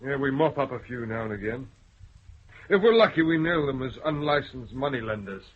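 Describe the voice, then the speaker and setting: An actor playing a plain-spoken senior police officer in a radio drama. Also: male voice, medium pitch, lively intonation, a little fast, slightly rough. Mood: firm. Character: blunt senior male Scottish police chief. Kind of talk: 1970s BBC radio drama acting. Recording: dull old radio broadcast.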